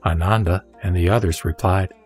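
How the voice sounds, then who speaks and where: A man giving a formal, measured, solemn narration of scripture for an audio drama. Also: deep voice, fairly even intonation, darkish tone. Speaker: narrator and reciter. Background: music.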